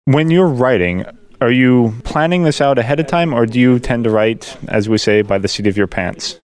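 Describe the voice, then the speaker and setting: A man's voice: medium pitch, lively intonation, normal speed, darkish tone. A man hosting a podcast, interviewing a guest at a convention with direct, plain questions. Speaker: podcast host. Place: convention venue.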